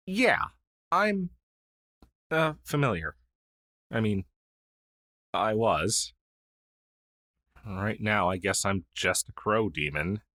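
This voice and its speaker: A man acting as a male crow demon, once a witch's familiar and now down on his luck, in an ASMR fantasy roleplay. His voice is on the low side and very animated, swinging up and down as he stammers earnestly. The sound is close and dry. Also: no echo, exaggerated voice. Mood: surprised, friendly. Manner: earnest, flustered, hesitant stammering.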